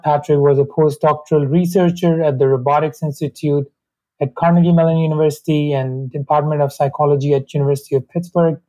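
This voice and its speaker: Warm-voiced host introducing a guest. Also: male voice, a little high, rough recording. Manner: measured, formal, slightly uneven grammar. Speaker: podcast host. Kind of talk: scripted intro and interview questions.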